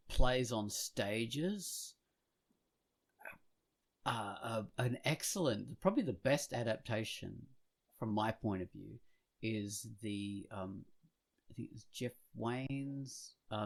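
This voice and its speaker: A man chatting casually as a podcast host, rambling and halting as he goes, growing animated when enthused. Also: mid-range voice, vocal fry. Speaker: podcast host and comic artist.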